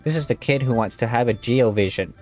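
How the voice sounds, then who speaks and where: A woman's voice: very deep voice, a little fast. A woman giving casual, exclamatory reaction commentary while playing a video game. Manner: expressive, exclamatory. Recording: game music behind the voice.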